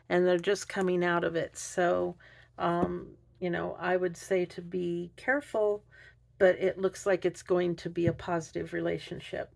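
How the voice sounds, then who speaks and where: A woman doing an unscripted tarot reading, thinking aloud in a halting, musing way. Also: on the low side, gravelly. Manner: hesitant, halting delivery with musing pauses. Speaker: tarot reader.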